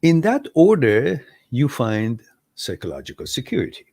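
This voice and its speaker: A man's voice: medium pitch, wildly swooping pitch, normal speed, gravelly, a little mellow, no room sound, studio quality. A man hosting a live question-and-answer stream, reading out viewer messages and explaining his ideas step by step. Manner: thoughtful, repeats and builds ideas step by step, chuckles at mix-ups. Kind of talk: live Q&A talk.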